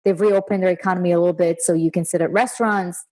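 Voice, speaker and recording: A woman in her thirties from Israel, a guest on an interview, talking relaxed and fluent with filler words. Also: lowish voice, quick pace. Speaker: guest, real estate investor. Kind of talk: conversational interview.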